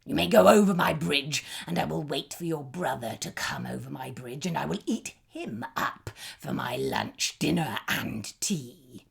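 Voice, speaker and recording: A woman voicing a huge, grumpy old male troll who booms and growls in a low, mean voice. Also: sing-song, a little slow, gravelly, few pauses, breathy edge, heavily acted. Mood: menacing.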